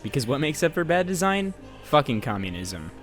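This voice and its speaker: A man's voice: fairly high pitch, very animated, a little fast, fairly bright tone. A young man doing a casual voice-over game review, sarcastic and profane. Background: faint music.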